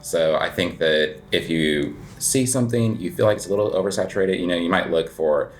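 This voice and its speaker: A man talks in an easy, rambling way, full of little fillers and restarts. It sounds like a relaxed interview chat. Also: low voice, a little fast, slight background noise. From United States. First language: English. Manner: relaxed, rambling, heavy filler words, self-deprecating laughs. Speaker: guest, cafe owner and coffee competitor.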